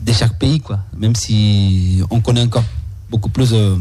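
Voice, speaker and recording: A man from Venezuela, a musician guest in a radio interview, speaking French in a relaxed, slightly halting way. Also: fairly low pitch, slight background noise.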